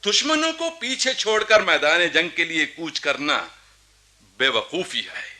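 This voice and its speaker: An actor playing a proud, embittered young Nawab in a historical radio drama, with imperious, rhetorical courtly speech. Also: male voice, on the high side, animated, a little slow, bright, forceful tone, heavily acted. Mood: stern command. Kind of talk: theatrical Hindi radio historical drama acting.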